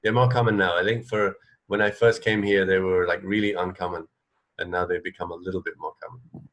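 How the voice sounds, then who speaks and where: A man's voice: deep voice, little pitch movement, brisk, fairly bright tone. A man co-hosting a radio show, sharing trivia in casual conversation.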